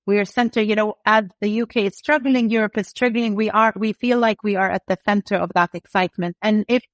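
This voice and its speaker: A woman's voice: medium pitch, a little fast, dry recording. Confident British-raised businesswoman. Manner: animated, confident, storytelling with vivid examples. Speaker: company co-founder, guest. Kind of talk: casual interview talk.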